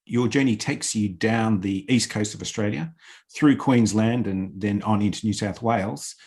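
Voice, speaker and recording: A man's voice: on the low side, normal speed, a little mellow. A man working as an interviewer, putting prepared questions in a formal, carefully phrased way.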